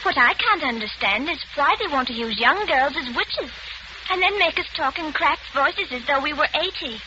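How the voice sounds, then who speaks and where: A nervous, starstruck young actress, talks in a high, earnest, gossipy way. It is 1940s radio drama acting on a muffled recording with clear noise. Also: female voice, animated, normal speed.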